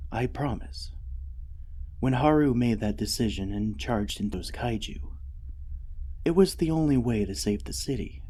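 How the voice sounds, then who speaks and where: A man voicing a gentle, devoted mech AI in a soft, soothing, earnest ASMR roleplay. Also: fairly low pitch, breathy edge, heavily acted. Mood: hushed, sorrowful, then tender, consoling. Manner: soothing, earnest, hesitant, often dropping to a whisper. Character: gentle, devoted mech artificial intelligence comforting its pilot.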